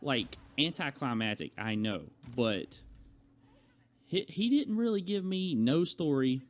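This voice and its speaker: A man's voice: medium pitch, big pitch swings, slow. A young adult man chatting casually to viewers as a vlogger, telling a rambling personal story in a conversational way. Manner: conversational, acts out quoted remarks.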